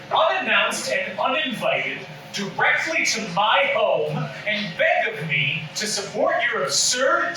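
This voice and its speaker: A man playing a bitter, drunken old former children's entertainer in a pompous, indignant theatrical rant, recorded at a distance in a room. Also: high-pitched. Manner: grandiose, indignant, theatrical diatribe. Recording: roomy echo, distant mic, noisy room.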